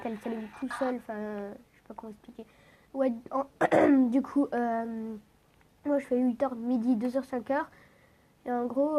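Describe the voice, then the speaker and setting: A woman's voice: fairly high pitch, warm tone, rough recording. A teenage girl with a head cold, talking a bit through her nose, rambling casually over a game with lots of fillers and restarts. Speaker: gaming vlogger.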